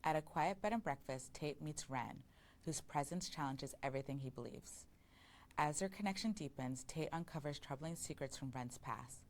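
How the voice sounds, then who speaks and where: A woman's voice: low-pitched, moderate pace, a little mellow, a little hiss or hum. A woman reading a scripted promotional introduction for an audiobook. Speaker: promotional announcer.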